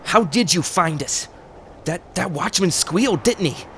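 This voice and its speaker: Guy playing jumpy tough-guy crook, highish voice, old radio style, slangy, noisy background. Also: lively intonation, a little slow, a bit bright, full character voice. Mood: jumpy defiant. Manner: slangy, blustering, stammering when rattled. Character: nervous tough male crook, blustering and jumpy. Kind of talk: 1940s radio drama acting. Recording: clear background noise.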